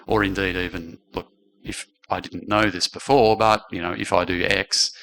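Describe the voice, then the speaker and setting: A man speaking as a panelist in a businesslike, animated way, with many restarts. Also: low-pitched, moderate pace, slight background noise. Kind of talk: panel discussion.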